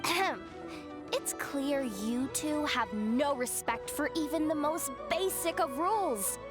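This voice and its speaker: A sharp-tongued young woman with a high, clear voice who talks in a dry, commanding, sarcastic way. Game music plays faintly behind her. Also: animated, slow pace. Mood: sardonic.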